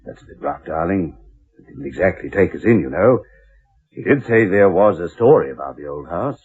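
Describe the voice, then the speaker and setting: A man's voice: low-pitched, animated, normal speed, husky, heavily acted. An actor in a radio drama playing a nervous, evasive married Englishman with a hesitant, polite, stammering way of talking. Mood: reassuring. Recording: muffled, narrow-band old radio recording.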